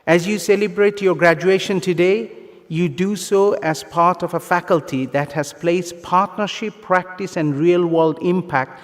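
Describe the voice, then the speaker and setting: A man with a fairly high voice delivers a formal ceremonial speech over a long hall reverb. He sounds earnest and encouraging, setting out measured phrases with emphatic pauses, like an official addressing graduates. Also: steady tone. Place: large conference hall, live graduation ceremony.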